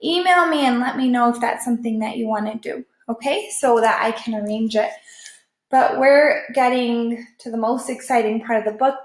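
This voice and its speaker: High-voiced woman teacher reading aloud, echoey room. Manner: expressive, checks understanding with questions. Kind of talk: children's book read-aloud with explanations.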